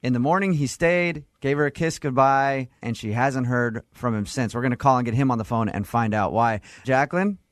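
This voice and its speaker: A man's voice: mid-range voice, a little fast, few pauses, dry recording, studio quality. An American man hosting a radio phone-in segment with teasing, casual, probing banter. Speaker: radio show host.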